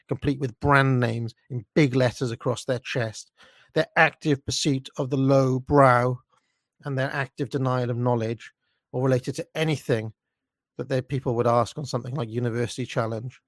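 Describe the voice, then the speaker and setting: A man's voice: mid-range voice, dry recording, studio quality, close to an everyday voice. A man voicing a snobbish, petulant young male narrator of a story, with pompous, contemptuous, precise delivery. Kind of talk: first-person dramatic story reading.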